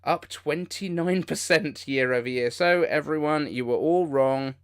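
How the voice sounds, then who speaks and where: A man's voice: on the high side, unhurried, smooth. A man hosting a casual livestream, reacting in an informal, incredulous way as he comments. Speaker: livestream host.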